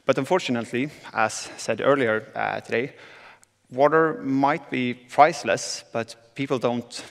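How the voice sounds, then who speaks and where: A man's voice: mid-range voice, fairly even intonation, unhurried, gravelly. A young man from southern Sweden with a Swedish accent, speaking as a panel guest with many hesitations. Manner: hesitant, many filler sounds. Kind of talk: panel talk on stage. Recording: reverberant conference hall.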